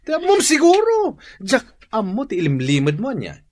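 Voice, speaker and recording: Volatile, bullying husband, wildly swinging voice. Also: male voice, very high-pitched, huge pitch swings, normal speed, no echo, heavily acted. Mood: bitter, accusing. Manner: explosive shouting, jeering, accusatory. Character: hot-tempered, hard-drinking gambler husband, bitter and bullying. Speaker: radio drama actor. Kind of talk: Filipino radio drama acting.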